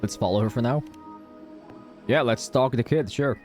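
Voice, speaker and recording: A man's voice: medium pitch, normal speed, darkish tone. A male game streamer with a mid-range voice chats casually over faint game music. He is irreverent and slangy, tossing out jokes as he comments on the playthrough.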